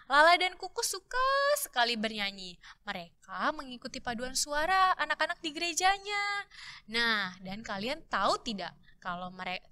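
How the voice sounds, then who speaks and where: A woman's voice: a little high, pushed and bright, clean tone. A young woman telling a story to Sunday school children, addressing them directly.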